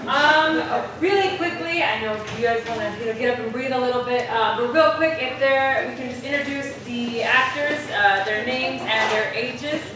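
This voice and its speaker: A woman's voice: on the high side, moderate pace, hardly any pauses. A woman casually hosting a live theater event, speaking to the room as organizer. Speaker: event organizer introducing performers. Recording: echoey room, distant, loud noise.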